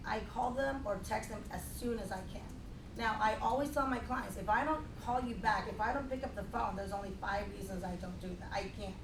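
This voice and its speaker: A Filipino-accented woman coaching a room of colleagues, blunt and direct, tagging her points with "right?" and "okay?". She sounds distant from the mic in an echoey meeting room. A steady rumble of noise sits clearly underneath. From Philippines. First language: a Philippine language. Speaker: financial professional giving a training talk. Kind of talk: motivational training talk to a group.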